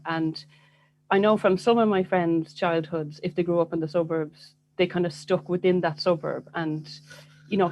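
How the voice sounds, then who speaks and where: A woman's voice: on the low side, darkish tone, lo-fi sound, slight background noise. A woman in her forties with a Dublin accent, a writer chatting in an interview, self-deprecating with frequent restarts. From Ireland. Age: 40s. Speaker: guest writer. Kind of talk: casual conversational interview.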